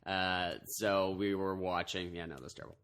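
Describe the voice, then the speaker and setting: A man's voice: sits low, flat delivery, moderate pace, a bit bright, clear, resonant tone. A man co-hosting a podcast in casual chat, rambling with lots of fillers and restarts. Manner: rambling, full of fillers and restarts, laughs a lot. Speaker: podcast co-host back from a trip.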